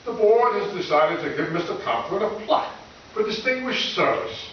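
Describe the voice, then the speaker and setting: Man playing a brisk, animated school official. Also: high-pitched, dull audio. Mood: genial, puzzled. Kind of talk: old-time radio comedy acting. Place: live stage performance in a reverberant room. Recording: echoey distant stage recording with hiss.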